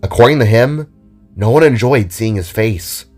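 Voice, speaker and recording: A man's voice: medium pitch, animated, moderate pace, darkish tone, faint music behind. A man reading scripted, dramatic narration for an audio drama story.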